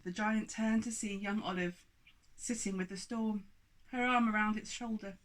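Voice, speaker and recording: A woman reading a rhyming children's story aloud as an expressive narrator. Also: medium pitch, normal speed, a little hiss or hum, low-quality recording. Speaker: storybook reader.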